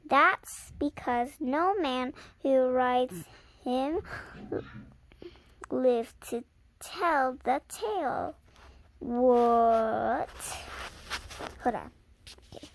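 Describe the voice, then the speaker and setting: A young girl of about seven or eight reading a story aloud haltingly and chatting to viewers in casual asides. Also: sits high, a little hiss or hum, rough recording. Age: child around seven or eight. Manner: halting, sounding out and repeating words.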